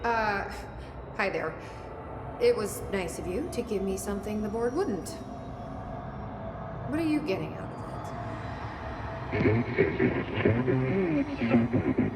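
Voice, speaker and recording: Echoey, wry young game heroine played by an actress. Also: female voice, mid-range voice, lively intonation, measured pace, pauses often, over music, close to an everyday voice. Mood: wry, awkward. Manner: dry, sardonic, conversational. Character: wry, impatient young woman with supernatural powers. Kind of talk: naturalistic video game acting. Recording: echoey long reverb, loud ambient noise behind.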